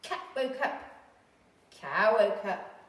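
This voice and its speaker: A woman reading a storybook aloud to young children like an infant school teacher, with expressive, drawn-out storytelling. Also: medium pitch. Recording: roomy, distant microphone.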